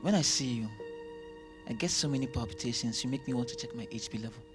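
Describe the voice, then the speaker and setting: An actor playing an affectionate, teasing young doctor and favoured son in an audio drama, earnest and playful. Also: male voice, medium pitch, quick pace, music in the background, lightly acted. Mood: romantic, playful. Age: young adult.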